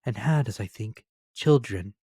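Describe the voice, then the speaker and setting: A man voicing a calm, solemn ghostly spirit guide in a dramatic storybook reading. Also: lowish voice, unhurried, breathy edge, no room sound, clean studio sound, exaggerated voice. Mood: solemn, gentle.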